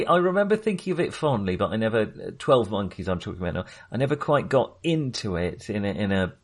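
A man co-hosting a podcast in casual film discussion, hesitant and often correcting himself as he qualifies his opinions. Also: lowish voice. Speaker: podcast co-host.

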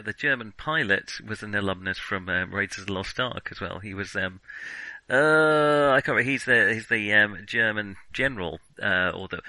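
Bright, forceful-toned man in a dry room. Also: on the low side, no room sound, low-quality recording. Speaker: film discussion podcast co-host. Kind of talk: casual film discussion.

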